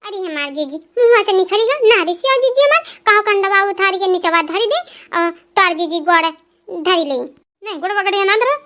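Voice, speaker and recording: A woman voicing gossipy, teasing village women in Awadhi-accented cartoon comedy, folksy and nagging, with an artificially pitched-up voice. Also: lively intonation, moderate pace, a bit bright. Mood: bickering, wheedling.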